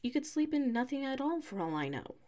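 A woman playing a flustered, defensive female classmate with a secret crush in an ASMR audio roleplay, huffy and halting. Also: sits high, very animated, dark tone. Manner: halting, huffy, defensive, slips into muttered whispers.

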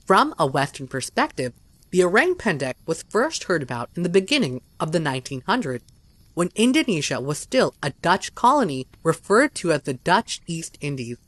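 A narrator with a lively, rising-and-falling delivery reads a scripted documentary story. The voice sits close and dry, with no room sound around it. The reading is measured and even, with no slips. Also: medium pitch, no room sound.

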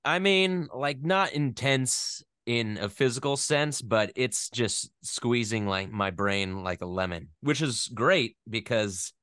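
A young American man from the West Coast talking about his work in a casual interview, rambling and animated, often laughing at himself. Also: medium pitch, takes it slowly, few pauses, smooth, no echo, studio quality. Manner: rambling, animated, self-deprecating. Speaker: voice actor guest.

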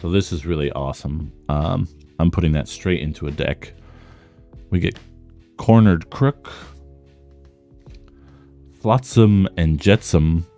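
A man with a slightly rough voice, worn from talking, giving casual commentary as he opens trading cards. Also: low-pitched, slow, pauses often, warm tone, soft background music. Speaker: trading card content creator. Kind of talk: casual card pack opening commentary.

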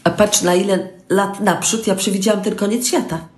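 A woman's voice: medium pitch, fairly even intonation, moderate pace, natural voice. A woman plays a sardonic older woman of the family, dropping dry, mocking asides. Her voice sits in the middle of the usual range. It rings a little in a roomy room at home. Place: room at home, recorded on phone.

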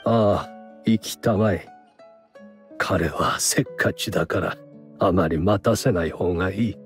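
A man voicing a weary, dignified older scholar in a game, speaking formally in a measured, resigned way. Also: low-pitched, faint music behind. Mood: relieved, calm. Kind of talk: Japanese game dub dialogue.

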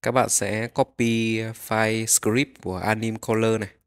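Guy with a fairly low voice doing a screen tutorial, instructions one step at a time. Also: level tone, measured pace, clear, resonant tone. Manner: instructional, narrating each click as it happens. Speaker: software tutorial host.

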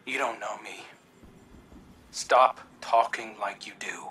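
A man's voice: fairly low pitch, slow, pushed and bright, a little hiss or hum. An actor playing a guarded, grieving young man in a video game, curt and defensive. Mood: bitter, curt.

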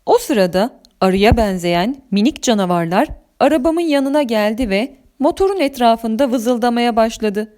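A woman storyteller reading a scripted children's story with expressive narration. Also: on the high side, moderate pace, hardly any pauses.

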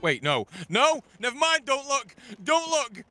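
An excitable young man jokes through a co-op game with mock outrage. His voice runs high and leaps wildly up and down. Clear music sits behind him. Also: unhurried, no room sound. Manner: excitable, exaggerated outrage, joking. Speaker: co-op gaming YouTuber guest. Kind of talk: casual co-op gameplay chat.

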